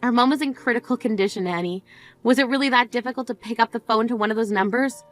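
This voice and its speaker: A high-voiced, blunt sister in mourning, played by a woman, scolding her sibling with cutting disbelief. Her tone stays sharp and exasperated, over faint background music. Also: quick pace, lightly acted. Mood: reproachful. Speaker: sister at a funeral. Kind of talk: scripted dramatic dialogue.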